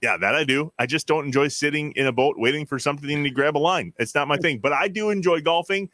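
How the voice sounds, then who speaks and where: A man's voice: on the high side, quick pace, pushed and bright, no echo. An American man co-hosting a podcast in casual chat. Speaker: podcast co-host.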